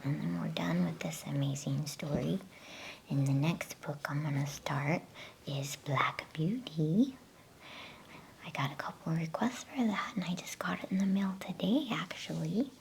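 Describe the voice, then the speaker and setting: A woman whispers softly in an intimate ASMR storytelling style. Her voice is low and a little crackly, with a gentle, unhurried delivery. A faint noise with a low rumble sits under the recording. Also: gravelly. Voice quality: soft unvoiced whisper. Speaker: ASMR bedtime story reader.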